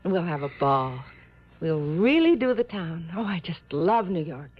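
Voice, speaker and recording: Gushing, animated young socialite. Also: female voice, fairly low pitch, moderate pace. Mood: gushing, excited. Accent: American. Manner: gushing, coaxing. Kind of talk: 1950s radio acting. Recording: dull, faintly noisy vintage radio recording.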